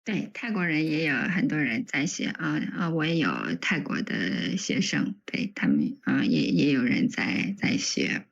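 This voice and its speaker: Woman language teacher, low husky voice, casual lesson talk, dull lo-fi online call. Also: sing-song, measured pace, few pauses.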